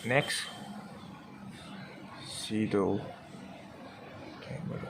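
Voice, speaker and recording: Slow, halting Malaysian man with background noise. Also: fairly low pitch. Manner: halting, clipped short phrases with simple English. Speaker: car audio installer demonstrating a product. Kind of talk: informal product demonstration. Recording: noisy room with clear background noise.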